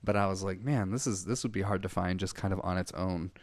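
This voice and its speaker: A man's voice: low-pitched, fast talker, darkish tone, dry recording. A man hosting an interview over a call, talking casually and rambling with many fillers. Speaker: podcast host. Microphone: headphones on a call.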